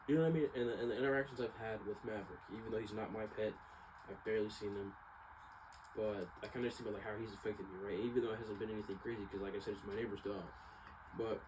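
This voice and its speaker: A man's voice: fairly low pitch, quick pace. A man talking casually to viewers at home in a rambling, slangy, hesitant and reflective personal monologue. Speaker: vlogger. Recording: clearly audible background noise.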